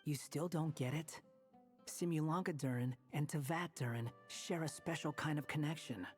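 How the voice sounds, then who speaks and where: A man's voice: a little high, normal speed, soft background music, close to an everyday voice. A man voicing an aloof, sceptical young wanderer in a video game, explaining things in a dry, matter-of-fact way. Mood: dry, explanatory. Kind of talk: video game character acting.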